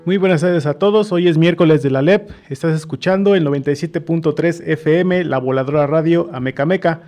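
Male community radio presenter, Mexican accent, somewhat high pitch, mellow timbre. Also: brisk, faint music behind. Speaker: radio host. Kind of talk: live community radio hosting.

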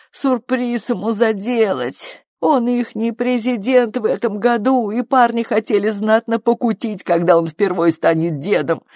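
Woman acting coarse, loud, scolding mother. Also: a little high, no echo, studio quality, pitched above the performer's own voice. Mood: bitter dismay. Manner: scolding, coarse colloquial speech. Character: coarse, furious working-class middle-aged mother of grown daughter. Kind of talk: audiobook character acting.

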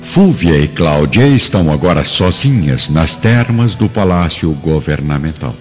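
A Brazilian man narrates a radio drama in a very deep voice. The sound is muffled, with music clearly behind his scripted delivery. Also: sing-song, normal speed.

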